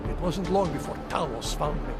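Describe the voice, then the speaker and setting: A man's voice: on the high side, animated, a little fast, over music. An actor playing an earnest, loyal augmented man who guards a rebel group's door in a video game cutscene. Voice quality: earnest, admiring, reflective.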